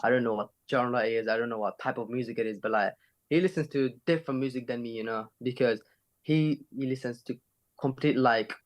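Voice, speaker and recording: A man's voice: medium pitch, brisk. Slangy male rapper from Sweden, chatting. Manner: slangy, with frequent "innit" and "you know". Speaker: rapper and singer being interviewed. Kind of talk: casual chat.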